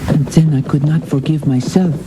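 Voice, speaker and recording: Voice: medium pitch, steady tone, moderate pace, natural voice. A performer playing an earnest, remorseful young boy in a radio drama. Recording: noisy radio broadcast recording.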